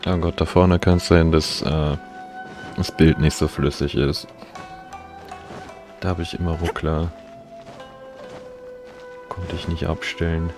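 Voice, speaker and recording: A man's voice: sits low, level tone, slow, long pauses, a little mellow, faint music behind. A man livestreaming a video game, talking casually and reading along. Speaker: game streamer.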